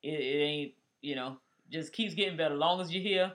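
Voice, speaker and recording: Male co-host, 40s, bright and a bit high, drawn-out teasing chat. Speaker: podcast co-host. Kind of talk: casual chat.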